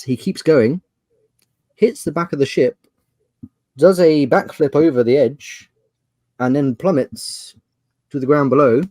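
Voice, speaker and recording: A man's voice: fairly low pitch, a little mellow, dry recording. A man running a tabletop fantasy game as game master, improvising narration and giving players instructions. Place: dry room on an online video call.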